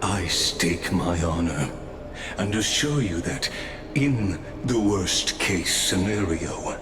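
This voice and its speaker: An actor voicing a formal, calculating robot gatekeeper in a video game, measured and unfailingly polite. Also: male voice, low-pitched, lively intonation, background noise. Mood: calm, calculating. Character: courteous, calculating male robot watcher, long-lived gatekeeper. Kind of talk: scripted video game voice acting. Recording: echoing voice over clear background music.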